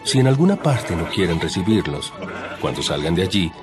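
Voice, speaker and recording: A man playing Jesus as a calm, authoritative teacher in an audio Bible drama, instructing deliberately. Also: sits low. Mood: firm instruction. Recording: loud background music. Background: music.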